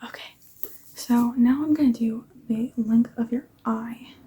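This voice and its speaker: A tired woman whispering softly through an ASMR face-measuring roleplay, yawning now and then and apologizing often. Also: a little high, steady tone, unhurried, rough recording. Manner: soft whispering, apologetic asides.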